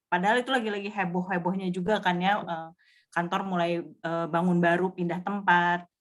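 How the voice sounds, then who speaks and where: A woman's voice: fairly low pitch, steady tone, normal speed. A woman sharing her experience in a casual group chat over a video call, hesitant, with frequent filler sounds and restarts.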